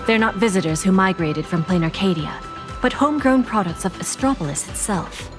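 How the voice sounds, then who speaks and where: A woman playing a poised, authoritative corporate insider, giving articulate, measured exposition in a video game. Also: medium pitch, lively intonation, lightly acted. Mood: matter-of-fact. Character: composed, authoritative female corporate insider briefing allies. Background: game music.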